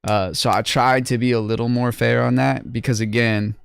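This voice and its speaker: A man's voice: medium pitch, level tone, normal speed, gravelly, clear, resonant tone, no echo. A young man hosting a livestream, casually rating music contest entries in loose, slangy talk full of restarts. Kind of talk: casual livestream review chat.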